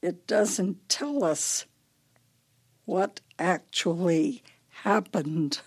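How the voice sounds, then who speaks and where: An actress playing a fretful, grieving older wife in a radio play, plaintive and emotional. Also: female voice, lowish voice, drawn-out words, slightly rough, exaggerated voice. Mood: indignant. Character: fretful, grieving elderly wife, clinging to memories.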